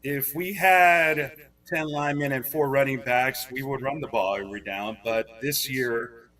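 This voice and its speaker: A man who is a head football coach, answering interview questions in a halting way with many fillers. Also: mid-range voice, pushed and bright, distant mic. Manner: earnest, filler-heavy, halting.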